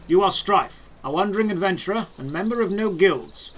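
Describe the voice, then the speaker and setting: A man playing a calm, all-knowing old knight spymaster in a fantasy audio drama, measured and emotionless. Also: high voice, a little hiss or hum. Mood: matter-of-fact. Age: older. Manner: measured, emotionless, matter-of-fact.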